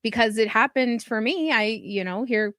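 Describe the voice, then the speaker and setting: A woman's voice: on the high side, normal speed, no room sound, clean studio sound. Middle-aged woman host, affirming and chatty. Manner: warm, affirming, laughs often, storytelling. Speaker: podcast host. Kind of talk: casual interview conversation.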